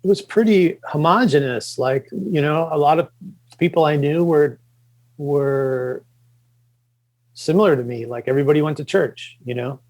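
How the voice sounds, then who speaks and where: A middle-aged man from the Chicago suburbs, a podcast guest, talking casually and haltingly with many fillers and restarts. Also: on the high side, a little mellow. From Chicago suburbs, Illinois. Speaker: podcast guest, comics creator. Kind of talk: casual interview talk.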